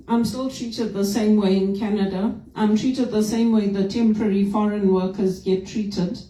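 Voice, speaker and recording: A woman's voice: mid-range voice, warm tone. A woman from Zimbabwe giving an informal, earnest and opinionated personal reflection with a religious side. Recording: roomy echo, distant from microphone.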